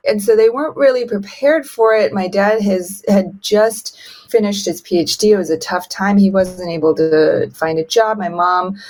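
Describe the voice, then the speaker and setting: Animated woman telling her life story. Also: normal speed. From China. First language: Mandarin. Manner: animated storyteller, acts out quotes with big pitch jumps. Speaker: guest. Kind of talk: podcast interview storytelling.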